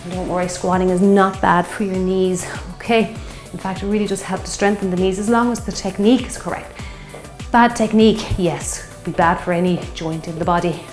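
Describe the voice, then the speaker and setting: A woman in her fifties, a fitness instructor leading a guided strength workout, slightly hoarse from a sore throat and cheering on the class as she counts out reps. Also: lowish voice, fairly even intonation, a little fast, echoey room, faint music behind. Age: 50s. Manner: encouraging, counting reps while exercising.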